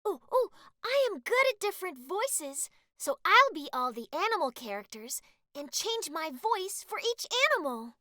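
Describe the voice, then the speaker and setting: A woman's voice: extremely high pitch, sing-song, normal speed, bright, forceful tone, no room sound, clean studio sound. A woman co-hosting a playful children's reading lesson and reading character parts. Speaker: co-host and character reader.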